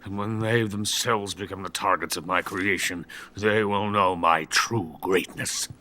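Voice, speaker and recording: An actor playing a bitter, boastful older Soviet weapons scientist who is drunk and rambles theatrically in a video game. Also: male voice, sits low, steady tone, measured pace, bright, forceful tone, slight background noise. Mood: bitter resentment. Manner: grandiose, self-pitying, theatrical rambling. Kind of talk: dramatic video game voice acting.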